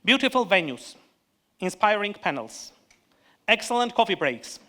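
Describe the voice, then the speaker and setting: A man with an Armenian accent, an economist giving an earnest, measured prepared speech on a conference panel, stopping now and then to restart a word. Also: sits high, sing-song, sharp tone.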